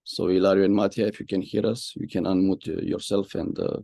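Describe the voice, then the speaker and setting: A man's voice: sits low, level tone, a little fast, vocal fry, warm tone. A man hosting an online video-call webinar, introducing speakers with frequent filler sounds. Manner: frequent "uh" fillers, friendly moderator. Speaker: host, media and communication lead.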